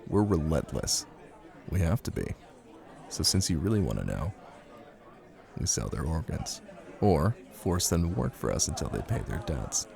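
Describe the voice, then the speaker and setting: A man acting out a menacing, possessive mafia husband in an intimate audio roleplay. His voice is very low, with heavy gravelly fry, and it moves expressively between coaxing and command, with deliberate pauses. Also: big pitch swings, crowd noise, full character voice. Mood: coldly menacing. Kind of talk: intimate scripted ASMR roleplay.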